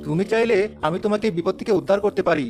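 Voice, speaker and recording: A man voicing a kindly, quick-witted village fisherman who reasons earnestly in an animated folk tale. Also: sits high, faint music behind. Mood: persuading.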